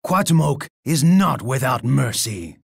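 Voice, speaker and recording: An actor playing a boastful, menacing Aztec emperor in a video game, with a gravelly, raspy voice and a pompous way of speaking. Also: male voice, medium pitch, animated, unhurried, no room sound, clean studio sound, full character voice. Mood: threatening. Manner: pompous, speaks of himself in third person. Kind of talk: theatrical video game voice lines.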